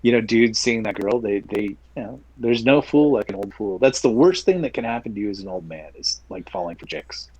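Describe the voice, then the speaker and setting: A man's voice: fairly low pitch, animated, brisk, few pauses, a little mellow, husky, dry recording, muffled recording. Man chatting in a casual, joking way. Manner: joking, rambling storyteller. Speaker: podcast co-host. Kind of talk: casual chat.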